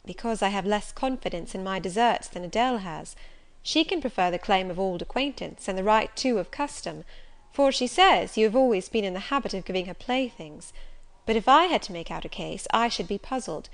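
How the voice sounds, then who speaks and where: Lady reading as a young governess, polite n measured, quietly candid, classic novel style. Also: medium pitch, animated, natural voice. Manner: measured, deferential, formal.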